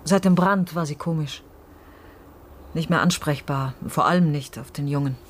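An actress playing a guarded, wry middle-aged mother in a radio crime drama, with a breathy, husky voice and a terse, direct manner. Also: low voice, normal speed, slight background noise, close to an everyday voice. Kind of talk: naturalistic German radio drama dialogue.

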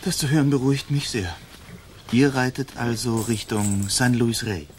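A man's voice: mid-range voice, fairly even intonation, normal speed, a little hiss or hum, close to an everyday voice. An actor playing a languid, faint-hearted young nobleman in a radio drama, polite and formal in manner. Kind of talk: German radio drama acting.

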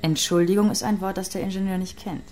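A woman's voice: mid-range voice, fairly even intonation, fast, warm tone, lightly acted. An actress playing a long-suffering, soothing woman with a wry, coaxing and exasperated manner in a radio drama. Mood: wry, resigned. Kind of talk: German radio drama acting.

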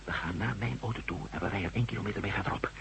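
A man's voice: lowish voice, racing, vocal fry, fairly bright tone, slight background noise. A man acting a level-headed, inquisitive reporter and former astronaut in a Dutch radio drama. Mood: decisive.

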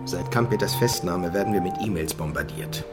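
An actor playing a calm, cautious, protective police superior in a German radio crime drama. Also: male voice, sits low, a little fast, loud music behind the voice. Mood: concerned, steady.

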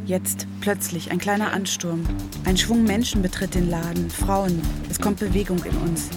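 A woman's voice: lowish voice, steady tone, normal speed. A woman working as a reporter, narrating a documentary and talking with people she meets. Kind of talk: documentary narration and street conversation. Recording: loud music behind the voice. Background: prominent music.

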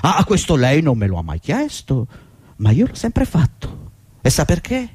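A man's voice: fairly high pitch, huge pitch swings, a little slow, darkish tone, slightly rough, no echo, studio quality, heavily acted. An actor playing a middle-aged, servile and agitated long-time employee pleading with his boss in a fretful, rambling radio drama performance. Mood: wounded, insistent. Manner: fretful, deferential, rambling and insistent. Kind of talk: theatrical radio drama acting.

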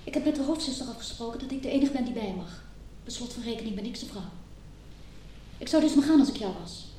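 An actress playing a cold, possessive wife in a radio drama, curt and dismissive. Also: female voice, animated, quick pace, mellow, natural voice. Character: cold, possessive wife guarding her hospitalised husband. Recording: distant, echoey room with faint rumble.

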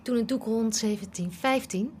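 A woman's voice: on the high side, normal speed, darkish tone, a little hiss or hum, natural voice. A woman playing a sensible, affectionate young student and girlfriend in a radio drama. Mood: reasonable, explaining. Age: young adult.

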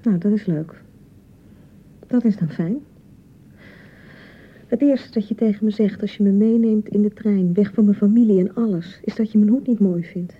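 An actress playing an insecure young newlywed wife in a radio drama, nagging and reproaching in repeated, sarcastic phrases. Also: female voice, medium pitch, normal speed, long pauses, narrow, tinny audio, close to an everyday voice. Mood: sarcastic, jealous. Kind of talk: naturalistic radio drama acting.

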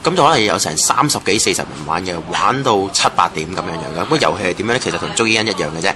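A man's voice: deep voice, lively intonation, rapid-fire. A man with a Hong Kong Cantonese accent, casually sharing recollections as an interviewee. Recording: noisy ambient location recording.

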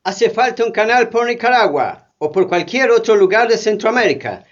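An actor playing a domineering North American official, assertive and declamatory, in satirical radio theatre. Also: male voice, high voice, lively intonation, normal speed. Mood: commanding. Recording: dull lo-fi audio.

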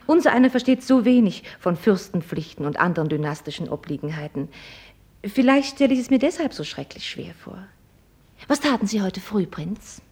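An actress playing a proud, mockingly polite young American heiress in a German radio play, speaking in a formal, ironic and probing way. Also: female voice, mid-range voice, lively intonation, normal speed, a little mellow, husky. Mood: mocking politeness. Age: young adult.

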